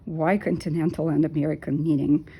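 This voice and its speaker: Low, gravelly-voiced woman in her fifties. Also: little pitch movement, warm tone. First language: Russian. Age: 50s. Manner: unhurried, hesitant with many ums. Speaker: knitting vlogger. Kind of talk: casual talk while knitting.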